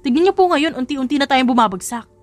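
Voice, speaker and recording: A woman's voice: sits high, lively intonation, fast talker, soft background music. A woman voicing a whiny, scheming relative after an inheritance, in a dramatised romance audiobook. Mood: whining, pleading.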